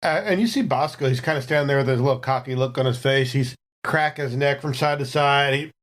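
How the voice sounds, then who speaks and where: A man's voice: medium pitch, quick pace, a bit bright. A man talking casually as a tabletop game player, describing what his character does.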